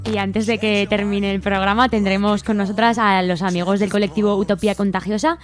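A woman's voice: mid-range voice, little pitch movement, brisk. A woman with a Spain Spanish accent co-hosting a community radio show in a casual presenting style. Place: radio studio. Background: faint music.

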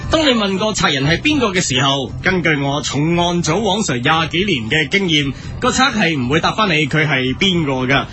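Man playing a high-pitched, pompous police inspector. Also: very animated, brisk, few pauses, bright, forceful tone. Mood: mock-serious. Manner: emphatic, repetitive, mock-official. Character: pompous veteran police crime inspector giving public advice. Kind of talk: parody police crime-report radio sketch.

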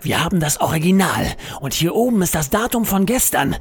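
A man's voice: fairly high pitch, very animated, fairly quick, husky, heavily acted. A man playing a wordy, grandiose office adventurer in a comedic audio drama. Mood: urgent, puzzled. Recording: music under the voice. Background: music.

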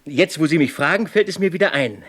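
A man's voice: on the high side, lively intonation, a little fast, fairly bright tone, slightly rough. A man playing a deferential airfield mechanic, eager to help, in a German radio crime drama.

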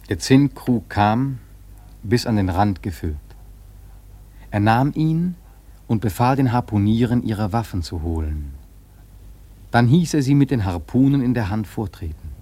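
A brooding sailor narrates a sea voyage for a radio drama. His voice is low, dark and husky. He tells it in a reflective, literary way with a sense of foreboding. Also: heavily acted. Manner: ominous, reflective, measured.

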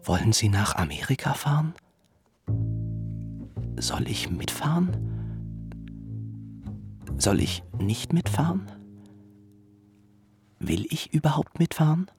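An actor playing a wary, self-questioning male schemer in a radio play, musing in a creaky voice. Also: big pitch swings, takes it slowly, long pauses, full character voice. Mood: puzzled, unsure. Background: double bass and guitar music.

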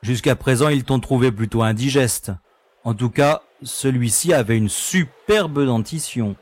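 A man's voice: medium pitch, measured pace, lightly acted. A man acting a teasing, easygoing young space explorer. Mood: casual, teasing. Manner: teasing, casual. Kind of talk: audio drama acting.

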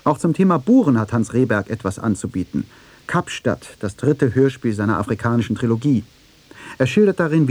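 A man's voice: medium pitch, a little mellow, husky, no room sound. A man reading scripted documentary narration as the narrator of a radio feature.

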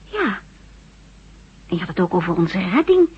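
An actress playing a caring, anxious wife in a radio drama, speaking soothingly and with concern. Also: female voice, fairly low pitch, very animated, normal speed, breathy edge, exaggerated voice. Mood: worried. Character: worried, caring wife of an amnesiac former astronaut. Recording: dull, lo-fi radio play recording.

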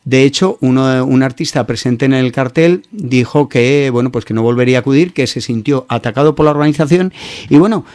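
A man's voice: mid-range voice, a little fast, darkish tone, smooth, dry recording. A man with a Peninsular Spanish accent hosting a radio show, giving opinionated news commentary in an informal, hesitant way.

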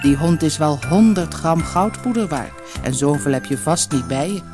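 A woman voicing a calm, reluctant male animal seller in a storybook tale, slightly patronising. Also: deep voice, normal speed, over music. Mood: amused refusal. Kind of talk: storybook narration character.

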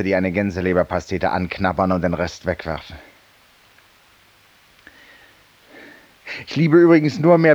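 An actor playing a feverish, weary, self-styled judge-penitent in a 1950s radio drama, confiding ironically and theatrically in a silent listener. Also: male voice, lowish voice, dry recording, lo-fi sound, lightly acted. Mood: wry, lecturing. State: feverish and tired, with coughs.

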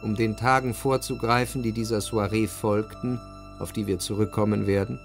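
An actor playing a reflective, well-to-do narrator in a radio play, telling of society life in measured, deliberate phrases. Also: male voice, lowish voice, steady tone. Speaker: first-person narrator of a literary radio drama. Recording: dry studio with clear music. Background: music.

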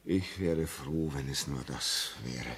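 An actor playing a haunted, anxious railway signalman in a 1950s radio drama, halting and agitated, swinging between hushed dread and crying out. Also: very deep voice, expressive, creaky, husky, exaggerated voice. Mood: bitter despair. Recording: muffled-edged vintage 1950s radio recording.

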